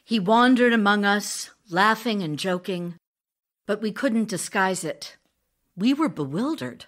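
An actress playing a passionate, impatient, loving stage actress in an audio drama of love letters, speaking in an ardent, demanding, theatrical way. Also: female voice, mid-range voice, expressive, a little slow, fairly bright tone. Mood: reflective.

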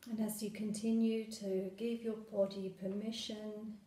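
A woman guides a meditation in a roomy, echoing space. Her voice is soft-toned and mellow, and she speaks very slowly. She gives gentle, soothing instructions in short phrases, leaving long pauses between them. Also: mid-range voice, flat delivery.

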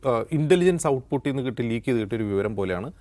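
Man, Kerala accent, news panelist, very quick pace, mid-pitched, detailed explanatory discussion. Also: animated, darkish tone. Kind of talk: explanatory current-affairs discussion.

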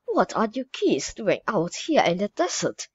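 A woman reading aloud, voicing a bossy male stagecoach driver who shouts his warnings. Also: mid-range voice, huge pitch swings, measured pace, husky, no echo, lo-fi sound, full character voice. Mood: brisk.

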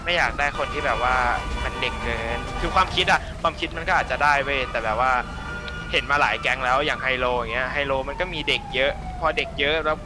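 A man's voice: mid-range voice, fast, over music. A man talking bluntly and coarsely as a gang boss in a roleplay game, over noisy game audio. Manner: blunt, coarse slangy talk. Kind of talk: casual, profane gaming banter.

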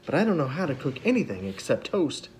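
A man's voice: on the high side, big pitch swings, fast, a little mellow, voice pushed up, rough recording. A man doing a caring teenage girl. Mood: thoughtful. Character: resourceful older sister, teenage girl, steady and caring.

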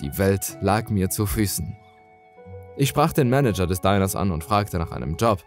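A man's voice: deep voice, lively intonation, moderate pace, soft background music. A man reading a scripted first-person horror story as a dramatic narrator.

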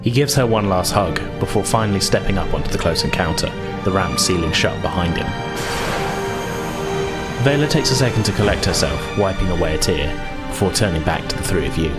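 A man's voice: low voice, expressive, a little fast, over loud music. A man narrating a tabletop role-playing story as game master, in prepared, descriptive prose.

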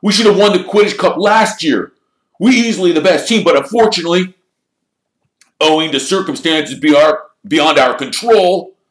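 A man voicing a fiery, obsessive teenage boy sports captain in a children's storybook, forceful and indignant. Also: high-pitched, big pitch swings, normal speed, few pauses, reverberant, pitched above the performer's own voice, heavily acted, poor audio. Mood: stern, frustrated. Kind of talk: audiobook character voice in a children's fantasy story.

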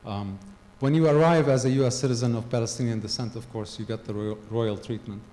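A middle-aged man from Gaza telling a personal story to a conference audience into a handheld mic, halting with frequent ums and restarts. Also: mid-range voice, steady tone, dark tone. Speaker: conference speaker. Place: large hall with a stage. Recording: long hall reverb, faint ambience.

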